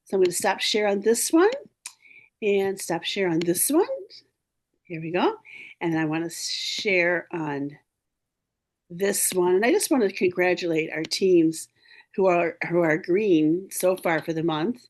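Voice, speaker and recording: A woman's voice: on the low side, lively intonation, measured pace. Brief: woman, slightly froggy team leader on a video call, upbeat, cheering her team on. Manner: upbeat, encouraging, clears throat often. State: a little hoarse. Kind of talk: casual team meeting talk.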